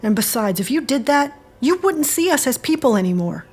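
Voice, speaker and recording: A woman acts out a principled, earnest friend. Her fairly high voice reasons and pleads with firmness. Faint music sits behind this audio drama performance. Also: sing-song, fairly quick, husky, heavily acted.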